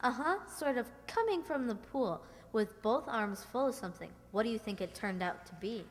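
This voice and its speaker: A woman's voice: a little high, expressive, normal speed, natural voice. A woman playing an eager, gossipy young kid sister in a radio comedy, telling tales in an earnest, confiding way. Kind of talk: 1940s radio comedy acting. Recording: echoey hall stage recording.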